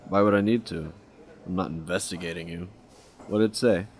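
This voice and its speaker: A man's voice: low-pitched, moderate pace, natural voice. An actor playing a cocky, wisecracking male detective who used to work undercover. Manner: wisecracking, casual.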